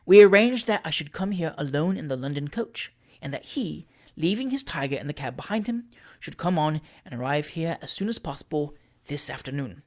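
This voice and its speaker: Narrator playing storybook lady, forty: cool, self-possessed schemer, quiet, measured, dry and imperious. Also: medium pitch, lively intonation, lightly acted. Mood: composed.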